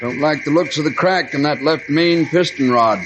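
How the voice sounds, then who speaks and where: An actor playing a cautious, folksy, deferential railroad engineer in an old-time radio western. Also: male voice, a little high, normal speed, close to an everyday voice. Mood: wary. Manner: folksy, clipped. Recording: noisy old radio broadcast.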